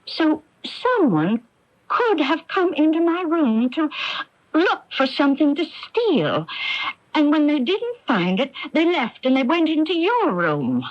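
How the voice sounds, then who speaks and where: A muffled old radio tape of an anxious middle-aged sister. Her voice is highish and swoops expressively as she frets and stammers timidly through a mystery drama. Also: sing-song. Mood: fretful, frightened. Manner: fretful, halting, stammering.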